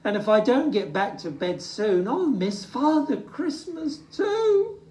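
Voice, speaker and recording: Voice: medium pitch, big pitch swings, takes it slowly, warm tone, slight background noise, voice pushed up. A storyteller voicing a small, earnest, easily tearful young male bear in a children's storybook, exclaiming and plaintive. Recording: roomy, distant microphone.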